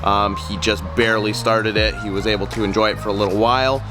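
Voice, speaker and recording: A man's voice: lowish voice, little pitch movement, fast. A young man with a nasal voice from a cold, talking in an easygoing, earnest way through a casual car walkaround vlog with many ums and uhs. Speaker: car vlogger. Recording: music playing underneath.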